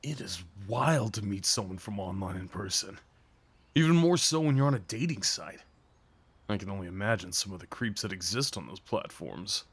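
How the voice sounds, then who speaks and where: A man's voice: on the low side, expressive, normal speed, fairly bright tone, breathy edge, full character voice. A young man acting a shy, sweet, apologetic date in an ASMR roleplay, talking hesitantly to the listener. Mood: shy, nervous. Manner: hesitant, apologetic, rambling, sighs and nervous chuckles.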